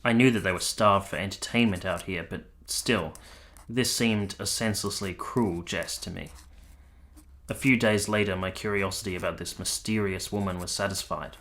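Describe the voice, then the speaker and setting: An earnest army captain in a literary radio play. His voice sits low with a bright, firm edge. He is formal, reserved and measured, like someone carefully recounting his memories. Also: steady tone. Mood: somber, reflective. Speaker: narrator and lead character. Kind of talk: literary period radio drama narration.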